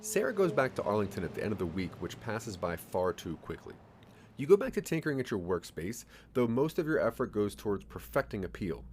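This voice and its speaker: Low-pitched male audio drama narrator. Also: slight background noise. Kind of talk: scripted second-person audio drama narration.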